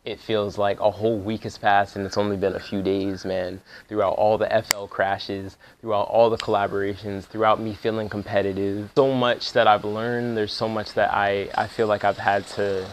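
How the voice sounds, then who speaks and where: A young man, a music producer, talking casually to the camera at a production workshop, with loose slangy speech that heats up when he gets excited. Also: lowish voice, normal speed, few pauses, a little hiss or hum. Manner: laid-back slangy talk, gets fired up when excited. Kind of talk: casual vlog talk to camera.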